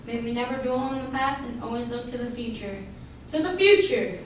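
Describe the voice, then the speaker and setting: In a very reverberant hall, away from the mic, a woman acts out a gracious reunion host, a gentleman with a high-set voice. He speaks formally and theatrically, with polite barbs, in a staged radio play over clear noise. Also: a little fast, far from the mic, natural voice. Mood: grand announcing. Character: Peter Edwards, successful former schoolboy, gracious reunion host with barbed tongue. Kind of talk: stage radio drama acting.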